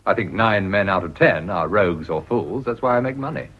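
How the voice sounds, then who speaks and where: A man's voice: low-pitched, expressive, a little fast. An actor with an English accent playing a ruthless, cynical Edwardian businessman in a radio drama, blunt and sardonic. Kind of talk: period radio drama acting. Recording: dull, muffled old radio recording.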